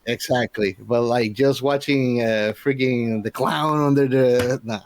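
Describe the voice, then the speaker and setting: A man's voice: mid-range voice, steady tone, a little slow, slight background noise. A man being interviewed as a guest, explaining things in an informal, casual conversational way.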